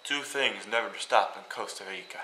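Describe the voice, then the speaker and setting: A man's voice: on the low side, brisk, slight background noise. Joking young man in an echoey room. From Iowa, United States. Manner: rambling, joking, with sudden loud bursts. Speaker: vlogger. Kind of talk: casual travel vlog. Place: hotel room.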